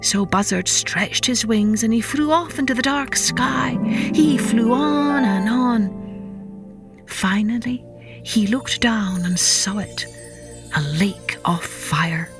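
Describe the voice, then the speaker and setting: Slow-paced woman storyteller for children. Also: on the high side, over music. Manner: dramatic, deliberate, with repetition for effect. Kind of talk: expressive scripted storytelling for children.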